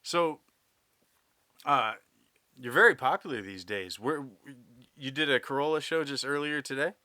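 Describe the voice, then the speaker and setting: A man's voice: mid-range voice, measured pace, pushed and bright, dry recording, clean studio sound. A man hosting a podcast, chatting easily and agreeably with his guest. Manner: easygoing, agreeable, frequent backchannel. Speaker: podcast host. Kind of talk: casual interview chat.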